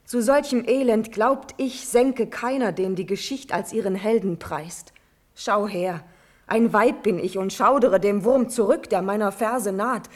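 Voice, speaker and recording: An actress plays a proud young princess in a classical verse radio play. She speaks very slowly in solemn, declamatory phrases, with a passionate, eloquent delivery at a middling pitch. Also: close to an everyday voice. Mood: shaken, lamenting. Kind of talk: classical German verse radio drama acting.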